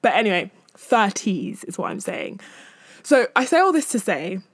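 Woman, 20s, podcast host. Candid, self-amused, casual rambling delivery at a mid pitch, recorded close and dry. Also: big pitch swings, normal speed, dry recording. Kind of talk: casual chatty solo podcast.

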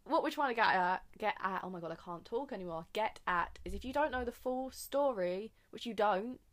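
Young woman podcast co-host, casual and quick, stumbles now and then, close mic. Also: medium pitch, animated, a bit bright. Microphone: sitting close to an uncovered mic.

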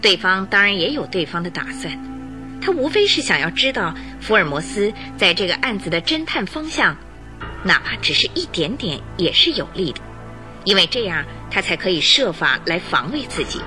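A woman's voice: medium pitch, sing-song. Woman narrating a radio drama. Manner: measured, dramatic storytelling. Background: music.

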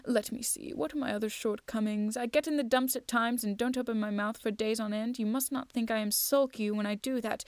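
A woman voicing an eager, proud gentleman detective in a storybook reading, brisk and self-assured. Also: on the high side, steady tone, no room sound, close to an everyday voice. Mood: cheerful, frank.

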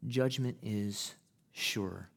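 Man, pastor, low voice, sermon in a church, conversational then impassioned. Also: extremely slow, gravelly, slightly rough. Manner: builds from conversational to loud impassioned preaching; acts out quoted people in casual voices. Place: church sanctuary.

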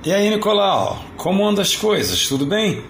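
An actor playing an older, weary retired mall Santa with a Rio de Janeiro accent, chatting colloquially in an audio drama. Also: male voice, high voice, big pitch swings, normal speed. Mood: friendly concern. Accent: Brazilian, Rio de Janeiro. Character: weary, wry retired mall Santa, encouraging old friend. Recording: roomy echo, faint background noise.

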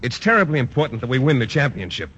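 A bashful stammering biology teacher. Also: male voice, mid-range voice, big pitch swings, fast, fairly bright tone. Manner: hesitant, stammering, formal wordy. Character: bashful shy male biology teacher, adult. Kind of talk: 1940s radio sitcom acting. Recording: dull old radio broadcast with faint hiss.

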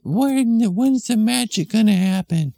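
A man putting on a complaining little girl's voice, pitched way up and swooping around, fed up and grossed out. The voice keeps a mellow, slightly gravelly edge underneath. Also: expressive, a little slow, no room sound, full character voice. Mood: whiny. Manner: whiny, complaining, high-pitched. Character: whiny young girl, bored and grossed out.

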